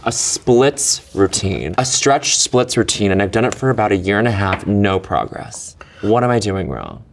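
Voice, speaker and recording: A man in his thirties, a comedian guest chatting playfully in a makeover studio, quick and full of likes and restarts. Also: on the low side, animated, background noise. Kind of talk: playful casual chat.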